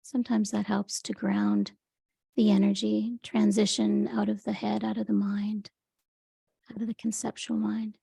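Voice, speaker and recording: A woman leading a guided meditation in a gentle, unhurried, soothing manner, with a soft, breathy voice. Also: medium pitch, little pitch movement, dry recording. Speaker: meditation teacher. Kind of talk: guided meditation, Yoga Nidra.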